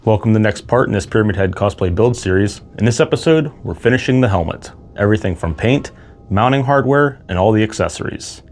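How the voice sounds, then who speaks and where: Low-pitched man explaining a build. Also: lively intonation, fast talker, a little mellow, soft background music. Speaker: cosplay builder presenting a build series. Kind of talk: casual tutorial narration with comedic skits.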